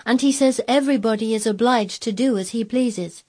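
A woman's voice: a little high, a little fast, darkish tone, breathy edge. A woman voicing a stubborn, self-possessed young girl who speaks firmly and plainly in a storybook reading. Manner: firm, plain-spoken, earnest.

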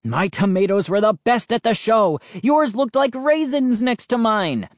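A narrator voicing a furious middle-aged dad in a storybook, bitter and accusing, with shouted outbursts. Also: mid-range voice, big pitch swings, normal speed, dry recording, clean studio sound.

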